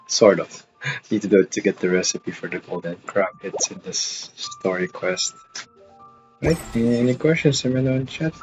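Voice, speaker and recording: A man giving casual, halting livestream commentary as he plays a video game. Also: fairly low pitch, muffled recording. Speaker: game streamer.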